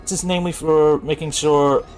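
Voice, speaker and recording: A man's voice: on the high side, steady tone, normal speed, darkish tone. A man commentates his video game run live in a casual, rambling way. He explains tactics as he goes, with game music faintly behind him. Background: video game music and sounds.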